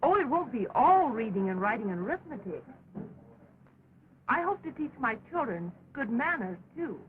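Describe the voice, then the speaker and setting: A woman's voice: a little high, very animated, normal speed. A woman playing a prim, teasing young schoolteacher in an early talkie western, polite and arch. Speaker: actor. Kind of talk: 1920s early talkie film acting. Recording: tinny, noisy 1920s film soundtrack.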